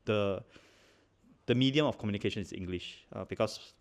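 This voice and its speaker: A man in his thirties with a Malaysian accent, co-hosting a casual chat podcast from a living-room sofa on a handheld mic, relaxed and quick to laugh. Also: fairly low pitch. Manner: relaxed, chatty, laughs often, many fillers. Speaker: podcast co-host.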